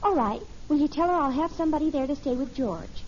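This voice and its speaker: An actress playing an earnest, eager teenage daughter in a 1950s radio family sitcom. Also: female voice, high voice, lively intonation, quick pace. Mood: eager, polite. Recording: muffled, noisy old radio recording.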